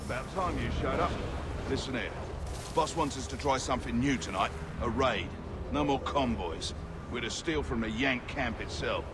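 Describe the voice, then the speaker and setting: An actor playing a gruff, bossy gang henchman in a video game, smug and sneering with a conspiratorial manner. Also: medium pitch, animated, normal speed, very noisy, lightly acted.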